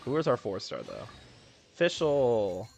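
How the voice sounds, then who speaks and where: A man's voice: mid-range voice, wildly swooping pitch, takes it slowly, gravelly, darkish tone. A young man streaming a game, chatting casually and joking at himself, with the odd curse. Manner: laid-back, self-mocking, swears casually. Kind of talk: casual gameplay commentary.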